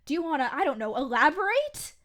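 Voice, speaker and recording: Brief: high, lively young woman, actress as a fed-up haunted-diner waitress. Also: fast talker. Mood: exasperated. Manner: exasperated, blunt, swears. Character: jumpy, exasperated young woman waiter at a haunted restaurant. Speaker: restaurant server. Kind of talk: comedic audio drama acting.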